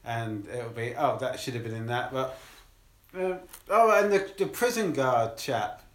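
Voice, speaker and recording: A man's voice: mid-range voice, measured pace. A man co-hosting a casual podcast, rambling and acting out movie moments in silly voices. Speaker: podcast co-host. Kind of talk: casual chat. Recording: echoey room.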